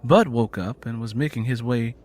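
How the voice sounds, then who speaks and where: A man's voice: mid-range voice, normal speed, darkish tone. A man narrating a dramatic story from a script as an audiobook reader.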